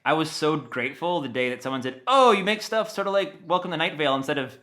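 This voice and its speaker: An American man talking casually and enthusiastically as an interview guest, animated and given to rambling asides. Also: a little high, quick pace. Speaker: interview guest, audio dramatist.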